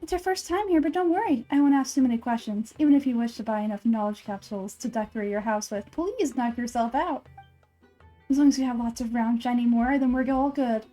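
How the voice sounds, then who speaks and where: A woman's voice: fairly high pitch, brisk, mellow, soft background music, lightly acted. A woman voicing a shrewd, cocky female merchant in a video game, with sly, boastful sales patter. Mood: sly, eager.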